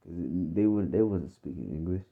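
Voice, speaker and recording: Deep-voiced American man, muffled phone-like sound. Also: lively intonation, moderate pace. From United States. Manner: blunt, profane, repetitive street-talk delivery with frequent check-in phrases. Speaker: religious teacher. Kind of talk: informal off-the-cuff religious teaching.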